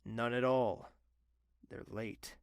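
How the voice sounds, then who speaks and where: A man playing a gravelly, weary young royal. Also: medium pitch, fairly even intonation, no room sound, clean studio sound. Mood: guarded. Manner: halting, tense, guarded. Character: stressed young male royal, defensive and weary. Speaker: voice actor. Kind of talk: audio drama acting. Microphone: home recording.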